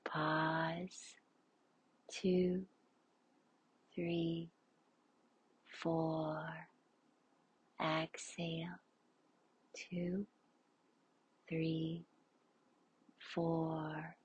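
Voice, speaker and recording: Very slow, breathy woman guiding meditation. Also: sits low, little pitch movement, rough recording. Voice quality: breathy, hushed, often near a whisper. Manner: soothing, unhurried, spacious pauses. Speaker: yoga nidra instructor. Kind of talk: guided relaxation meditation.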